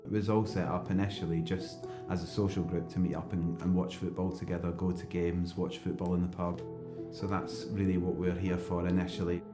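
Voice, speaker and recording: A Scottish man in his thirties, a football coach, speaking in a measured, reflective documentary interview. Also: low voice, little pitch movement. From Scotland. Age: 30s. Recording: roomy interview with music bed.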